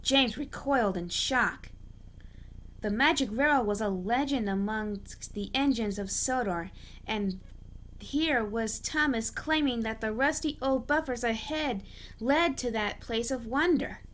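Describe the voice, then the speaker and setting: A woman narrates a story as an amateur reader. Her voice is fairly high and sharply bright. She often stumbles and goes back over a phrase. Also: a little slow. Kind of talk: storybook narration read aloud.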